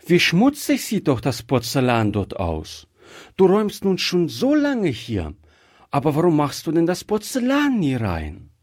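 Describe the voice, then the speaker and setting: Casting: eager, innocent little storybook girl played by a man, lifted high pitch, lively swings, inquisitive and earnest. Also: a little slow, dry recording, clean studio sound, full character voice. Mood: reproachful, curious. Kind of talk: acted storybook dialogue.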